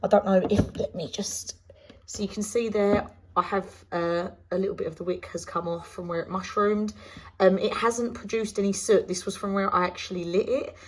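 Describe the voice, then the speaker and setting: A woman from the UK with a British accent, chatting casually through a product review, her voice slightly croaky after laryngitis. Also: medium pitch, fairly even intonation, normal speed. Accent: British English. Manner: chatty, rambling with many ums. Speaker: candle reviewer.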